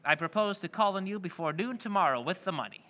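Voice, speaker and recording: A man's voice: high voice, expressive, fast talker, sharp tone, muffled recording. A man playing a mysterious male stranger in an old-time radio play, speaking firmly and precisely. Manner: firm, precise, clipped.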